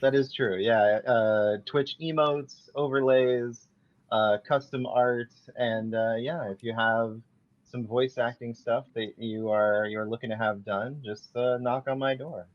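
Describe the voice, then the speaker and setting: A man chatting as a podcast guest, friendly and relaxed, with some hesitation. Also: medium pitch, measured pace, dull audio. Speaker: podcast guest, voice actor and artist. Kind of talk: casual podcast chat.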